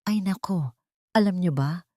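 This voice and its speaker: A woman's voice: on the low side, a little slow, darkish tone, no room sound, studio quality, lightly acted. A woman voicing a haughty, sneering older society matriarch who gloats and gossips in an audio drama. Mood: gloating, scornful.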